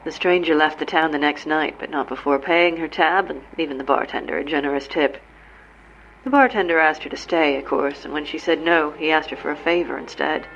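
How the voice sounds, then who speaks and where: A woman narrating a western tale in a measured, dramatic storytelling manner. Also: sits low, fairly bright tone, slight background noise. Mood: solemn. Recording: dull lo-fi with music.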